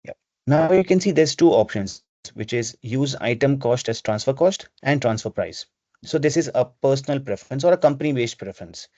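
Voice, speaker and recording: Male software trainer, mid-pitched, instructional, explaining procedures over lo-fi call audio. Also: animated, moderate pace, dry recording. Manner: step-by-step, instructional. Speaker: webinar presenter. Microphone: video call microphone. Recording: dull, lo-fi video call audio.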